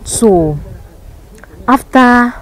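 A woman's voice: fairly high pitch, extremely slow, low-quality recording. A woman acting in a skit as a wary single woman who wants marriage. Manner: expressive, wary.

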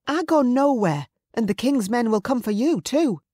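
A protective woman as acted by an audiobook narrator, her voice lifted high. She speaks earnestly in old-fashioned dialect, like a mother in a historical romance, recorded close and dry. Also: animated, normal speed, a little mellow, breathy edge, no echo. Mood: alarmed, defiant. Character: anxious Scottish Highland woman, protective mother.

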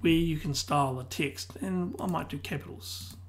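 A tutor losing their voice who keeps clearing their throat, calmly explaining a software tutorial one step at a time. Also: medium pitch, moderate pace, slight background noise. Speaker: web design tutor. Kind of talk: screen-recorded software tutorial.

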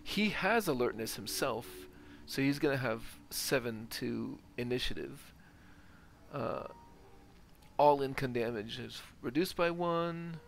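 A man's voice: mid-range voice, animated, slow pace, creaky, a little hiss or hum. A man giving casual, methodical gameplay commentary, thinking aloud and reading out stats. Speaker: gaming video host. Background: game ambience.

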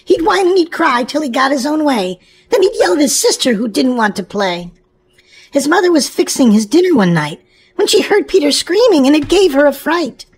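A woman's voice: high voice, big pitch swings, moderate pace. A woman hosting a children's show and reading a storybook aloud in an exclamatory way, as if to young children. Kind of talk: animated children's read-aloud narration.

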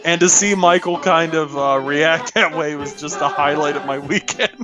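A man with a loud voice telling anecdotes in casual, excitable chat as a podcast guest. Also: on the high side, moderate pace, music in the background. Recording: dull, lo-fi microphone.

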